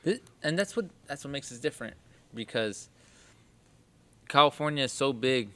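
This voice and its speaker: A man's voice: medium pitch, expressive, normal speed, pushed and bright. A man interviewing a boxer in a chatty, enthusiastic manner, laughing often. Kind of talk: casual interview chat.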